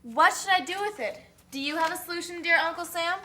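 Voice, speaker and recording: A woman's voice: very high voice, a little fast, bright, forceful tone. A woman voicing an eager, needy American corn exporter in a puppet show.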